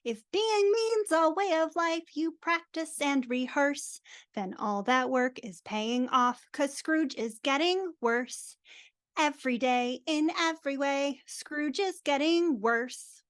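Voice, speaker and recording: A woman playing gossipy townsfolk, reciting rhyming lyrics in a deliberate rhythm during a live reading. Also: sits high, expressive. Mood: scornful, gossipy. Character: gossipy townsfolk chorus mocking a miser. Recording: online video call track.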